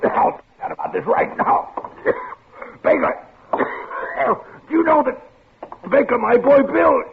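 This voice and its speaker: A gasping old boss in a 1950s radio play, his voice high, gravelly and strained as he coughs and chokes for breath. It sounds like an old radio broadcast, narrow and tinny. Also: takes it slowly, background noise, full character voice. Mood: choking. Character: older male boss seized by an asthma attack. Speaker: radio actor. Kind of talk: 1950s radio drama acting.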